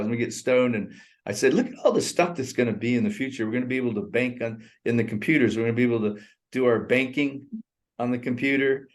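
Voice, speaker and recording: An older man, around sixty, co-hosts a casual movie review chat over a remote call. He rambles from one thought to the next and breaks into laughter often, at an ordinary pitch for a man. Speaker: co-host. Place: in Thailand.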